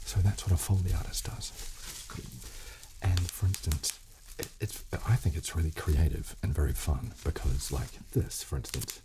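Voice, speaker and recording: British male ASMR host, extremely low hushed voice, gentle explainer, crackly plastic noise on mic. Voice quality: soft, hushed. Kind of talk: soft-spoken ASMR chat. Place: small decorated room, indoors. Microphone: close studio condenser microphone on table.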